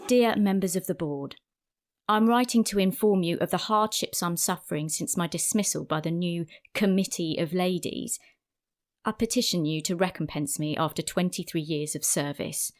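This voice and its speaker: An actress playing an embittered, proud older former matron, formally voicing a letter of grievance in an audio drama. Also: female voice, fairly low pitch, normal speed, close to an everyday voice. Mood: aggrieved. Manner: formal, aggrieved.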